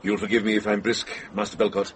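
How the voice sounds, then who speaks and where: An actor playing a shrewd, brisk middle-aged monk investigator in a radio drama, measured and direct. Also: male voice, fairly low pitch, little pitch movement, noisy background, natural voice. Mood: earnest, urgent. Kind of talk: British radio drama acting.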